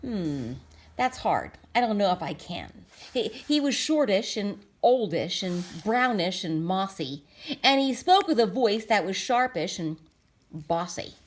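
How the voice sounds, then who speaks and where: A woman reading a storybook aloud for children, expressive, pausing to explain and ask simple questions. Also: mid-range voice. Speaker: storybook reader. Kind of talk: children's read-aloud with asides to the listener.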